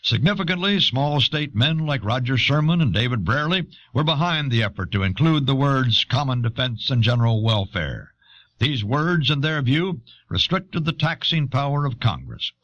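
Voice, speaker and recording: A man reading scripted historical narration in a measured, authoritative manner. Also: medium pitch, lively intonation, fairly bright tone. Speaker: documentary narrator. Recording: dull old audio cassette.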